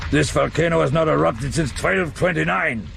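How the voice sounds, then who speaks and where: A bombastic older professor, pitched high with a gravelly creak. He blusters and commands impatiently in radio-drama style. The broadcast recording is clearly noisy. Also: normal speed, a bit bright. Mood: gruff, commanding.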